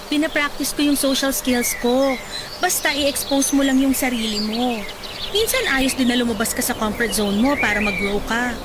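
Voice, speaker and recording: A woman's voice: sits high, moderate pace, heavy background noise, close to an everyday voice. An actress playing a chatty, encouraging young woman volunteer who teases lightly and laughs often. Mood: friendly. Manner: lively, teasing, laughs often. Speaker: volunteer at a charity event. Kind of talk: radio drama acting. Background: loud background music.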